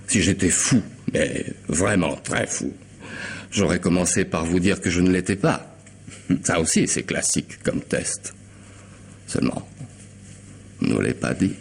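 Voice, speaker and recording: An actor playing a sardonic, half-mad hired killer in a French radio mystery, mocking and unhurried in his false courtesy. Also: low voice, expressive, vocal fry, a little hiss or hum, exaggerated voice. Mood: teasing menace.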